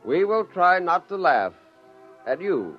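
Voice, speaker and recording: A man's voice: sits high, very animated, takes it slowly, faint music behind, full character voice. An actor playing a wry father and scientist narrating his tale in a 1950s radio drama, on a muffled vintage recording. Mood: solemn reading.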